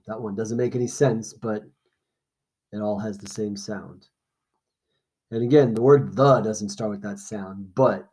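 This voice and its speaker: A man's voice: fairly low pitch, warm tone, breathy edge, poor audio. A man teaching an informal lesson, reading aloud and explaining things in a plain instructional way. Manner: explanatory, checks understanding with short questions.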